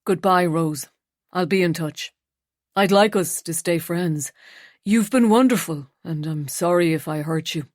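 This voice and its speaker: A woman reading an audiobook, voicing a man in his forties who calmly and apologetically leaves his partner. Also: lowish voice, a little slow, no room sound, studio quality, pitched below the performer's own voice, lightly acted. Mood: apologetic.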